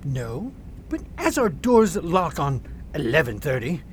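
A woman's voice: deep voice, big pitch swings, measured pace, slight background noise. A woman playing an obliging, businesslike hotel concierge in 1890s Naples in a radio drama. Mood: helpful.

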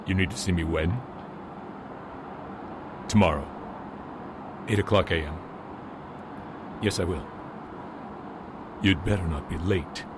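A man playing a gruff, curt detective in a radio mystery, speaking with deliberate weight. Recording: clear rumbling ambient noise.

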